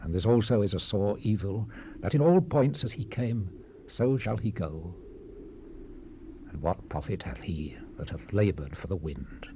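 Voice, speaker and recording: An actor playing a solemn Old Testament preacher, reading scripture in a measured, oratorical manner. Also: male voice, lowish voice, warm tone. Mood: grave, brooding. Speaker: Bible narrator, voice actor. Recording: hissy unprocessed 1970s cassette rip.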